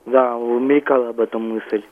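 A man's voice: mid-range voice, steady tone. Teen guy calling into radio, muffled phone sound, kinda hesitant answers with lots of filler. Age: teenager. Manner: halting, reserved, often says "like". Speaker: young amateur playwright, phone-in guest. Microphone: telephone. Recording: muffled, band-limited phone line.

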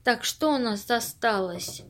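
A woman's voice: fairly high pitch, expressive, measured pace, fairly bright tone. A woman acting an earnest, anxious and strict character in an amateur audio play, speaking in a fretful, reproving way. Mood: businesslike.

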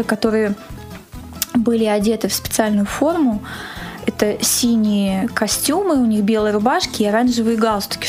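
A woman in a casual interview, recounting an event as its organizer in an animated storytelling way. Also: medium pitch. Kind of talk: casual spoken interview recollection.

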